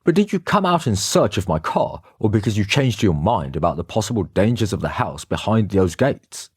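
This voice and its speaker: A man reading aloud who voices a sharp-tongued man speaking with clipped sarcasm. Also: fairly low pitch, sing-song, normal speed, slightly rough, no room sound, studio quality, heavily acted. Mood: sarcastic.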